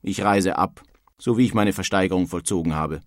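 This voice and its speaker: A disillusioned German emigrant of the 1800s. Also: male voice, low voice, brisk, no room sound. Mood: bitter, resigned. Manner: formal, old-fashioned wording. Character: disillusioned 19th-century German emigrant man writing letters home. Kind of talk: dramatic reading of historical letters.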